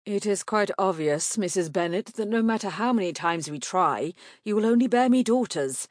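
Period gentleman father, acted by a female narrator: stern, cold, crisp and clipped, dismissive. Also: mid-range voice, expressive, normal speed, dry recording, studio quality, natural voice. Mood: cold, firm.

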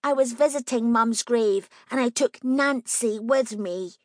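A stubborn nine-year-old girl, nervous underneath but bossy and matter-of-fact, as a woman reads her aloud. The voice sits high and comes across dry and close. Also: a little slow, dry recording, natural voice. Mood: insistent.

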